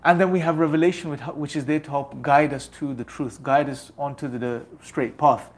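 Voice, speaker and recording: A man's voice: a little high, fairly even intonation, fairly quick. A young man giving an earnest, exhorting religious talk that leans on rhetorical repetition. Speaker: religious speaker.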